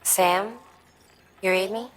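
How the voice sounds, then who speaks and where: A woman playing an enigmatic, devoted support contact in a video game, talking cryptically in short, deliberate phrases. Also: mid-range voice, fairly even intonation, close to an everyday voice. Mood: composed, cryptic. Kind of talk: dramatic video game dialogue.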